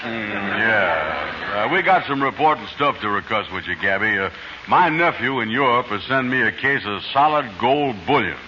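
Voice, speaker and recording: A man's voice: on the low side, animated, noisy background, natural voice. An actor playing an easygoing scheming man who talks unhurriedly with ums, in 1940s radio comedy. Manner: slow, unhurried talk with ums. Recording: lo-fi old radio broadcast.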